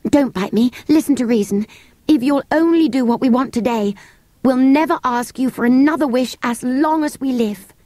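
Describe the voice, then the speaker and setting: A woman voicing an earnest, bold young girl in a storybook, with an eager, coaxing and gentle manner. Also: sits high, moderate pace, no room sound, pitched above the performer's own voice, close to an everyday voice. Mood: pleading. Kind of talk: storybook character voice.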